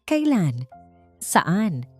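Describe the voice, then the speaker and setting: A woman's voice: mid-range voice, very animated, extremely slow, a little mellow, slightly rough, soft background music, exaggerated voice. A woman voicing a proud young female CEO who is humbled and desperate, speaking in a strained voice that tries to sound composed. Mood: tense.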